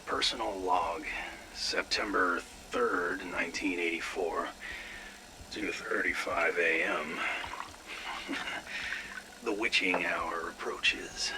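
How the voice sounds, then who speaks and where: An actor playing a sleepless, paranoid American scientist, rambling nervously and haltingly through a dramatic game audio log. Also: male voice, deep voice, background noise. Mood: weary, wry.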